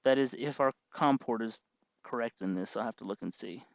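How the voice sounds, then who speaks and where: A man hosting an informal hands-on electronics tutorial, explaining step by step in a halting, folksy way. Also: mid-range voice, vocal fry, no echo. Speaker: tutorial host.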